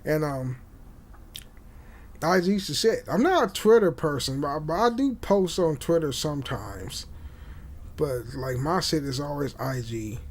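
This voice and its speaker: A young man hosting a podcast, chatting casually and haltingly, with repeated words and frequent swearing. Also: fairly high pitch, animated. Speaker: podcast host. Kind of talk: casual rambling chat with swearing. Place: small home room indoors. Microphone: condenser mic on boom arm.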